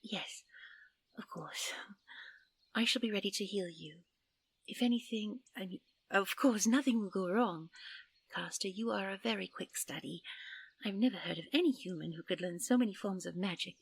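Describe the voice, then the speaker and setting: A woman playing a gentle, easily flustered fairy princess in an audio roleplay, with polite, dreamy and quaintly formal speech. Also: mid-range voice, moderate pace, gravelly, breathy edge, no echo. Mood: earnest.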